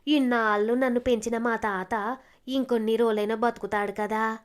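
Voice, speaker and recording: A woman's voice: fairly high pitch, fairly even intonation, moderate pace, natural voice. A woman voicing a poor, earnest young village woman in a moral story, humble and anxious in a rustic way. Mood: anxious pondering. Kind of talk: dramatized moral story dialogue.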